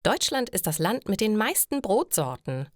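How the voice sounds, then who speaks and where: A woman narrator puts on a cheerful, friendly saleswoman at a bakery counter in a storybook lesson. She pitches products eagerly, her voice lifted, in a very dry recording. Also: very animated, moderate pace, no echo. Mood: enthusiastic. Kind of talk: storybook dialogue in a language lesson.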